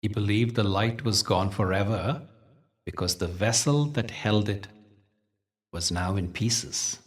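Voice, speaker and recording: Deep-voiced man guiding a meditation, slightly distant. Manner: gentle, measured, soothing delivery with deliberate pauses. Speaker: meditation teacher and guide. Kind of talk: guided meditation with spiritual storytelling.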